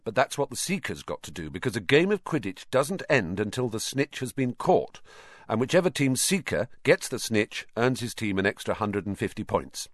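A man's voice: lowish voice, normal speed, no echo, clean studio sound. A man voicing a reluctant, put-upon schoolboy who speaks in a resigned, patient, weary way for a storybook. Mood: resigned explaining. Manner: flat, resigned, explanatory.

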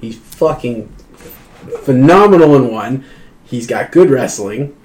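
A man's voice: mid-range voice, lively intonation, slow pace, a little mellow, rough recording. A man co-hosting a casual sports podcast, tired after training, laid-back and swearing freely. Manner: laid-back, profane, laughs often. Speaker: podcast co-host.

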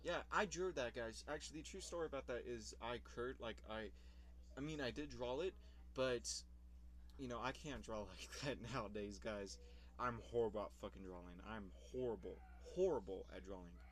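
A man's voice: mid-range voice, normal speed, a little hiss or hum. A young man in casual vlog commentary, rambling about his old videos, swearing casually and laughing at himself.

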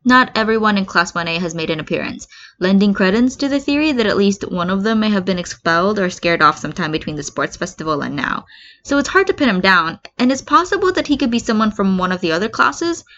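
Female audiobook-style reader for comedic fan fiction, medium pitch, expressive with quotes, dull lo-fi sound. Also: fast. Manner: acts out comments and quotes. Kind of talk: scripted narration of a comedic story.

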